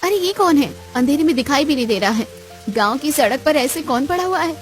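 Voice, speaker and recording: Woman doing a worried young village woman, pretty high voice, earnest story drama, light music. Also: fairly quick. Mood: alarmed. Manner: earnest, urgent, expressive. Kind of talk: dramatized moral story acting.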